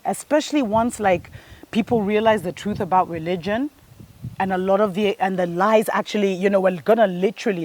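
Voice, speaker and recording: A woman's voice: fairly low pitch, darkish tone, no echo. A woman, hosting a spiritual show and giving an earnest, preachy monologue that speaks to her listeners as family. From Kenya.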